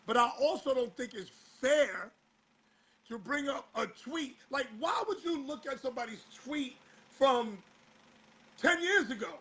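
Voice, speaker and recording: Male host, very high bright voice, a bit hoarse, exasperated rhetorical talk-show riffing. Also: lively intonation, unhurried, rough recording. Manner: fires rhetorical questions, exasperated. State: slightly hoarse. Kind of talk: casual talk-show commentary.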